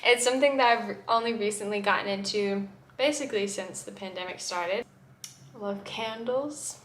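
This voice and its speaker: A woman's voice: medium pitch, moderate pace. A woman in her twenties with a mixed American accent, chatting upbeat to camera in a casual vlog intro at home. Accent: mixed American with shifting traces. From grew up in the UK and the Middle East. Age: 20s. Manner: chatty and upbeat, strings of rhetorical questions. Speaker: vlogger. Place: home room with plants. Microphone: camera in front of her.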